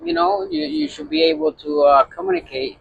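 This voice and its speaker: A man's voice: extremely high pitch, huge pitch swings, brisk, vocal fry, dull audio, slight background noise. A man from Puerto Rico, a truck driver, talking casually to his phone in a rambling way full of fillers and restarts. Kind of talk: casual vlog talk to camera.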